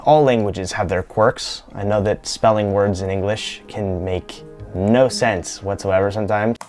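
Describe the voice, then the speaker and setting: A man's voice: lowish voice, fairly even intonation, a little slow, a little mellow, soft background music. A young man from the United States presenting a scripted vlog in a playful, lightly joking manner. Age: young adult. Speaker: YouTube creator.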